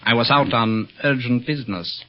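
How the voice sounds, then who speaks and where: A man playing a polite, slightly halting Chinese tea house owner and secret operative in a 1930s radio serial. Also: medium pitch, lightly acted. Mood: grave. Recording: dull old radio recording with music.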